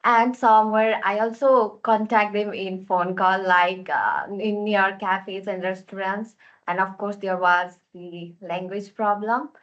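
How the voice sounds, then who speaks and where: A woman's voice: mid-range voice, steady tone, a little slow, a bit bright, lo-fi sound. A young woman from Nepal with a Nepali accent, asking an earnest, detailed question from the audience. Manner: earnest, fluent, long questions.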